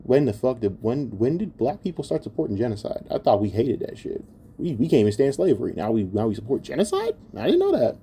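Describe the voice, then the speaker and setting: A man's voice: medium pitch, huge pitch swings, quick pace, gravelly, dark tone, slight background noise. A man giving an opinionated commentary rant in a blunt, exasperated and scornful manner, swearing heavily. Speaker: online commentator.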